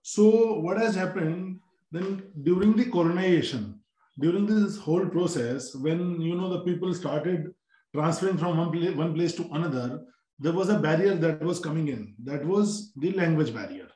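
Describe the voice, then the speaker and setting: A man's voice: fairly high pitch, dark tone. Indian man on an echoey video call. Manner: measured, explanatory, many restarts and fillers. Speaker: panelist. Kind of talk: panel discussion on a video call. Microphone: laptop on a video call. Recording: roomy video call audio.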